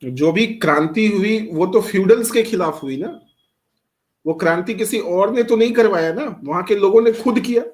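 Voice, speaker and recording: A man's voice: high-pitched, moderate pace, darkish tone. A middle-aged man from Bihar teaching a class, switching between Hindi and English, asking rhetorical questions and calling on students by name. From Bihar, India. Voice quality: speaks Hindi with much English mixed in, quizzes students by name. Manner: asks rhetorical questions and answers them himself. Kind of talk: classroom lecture.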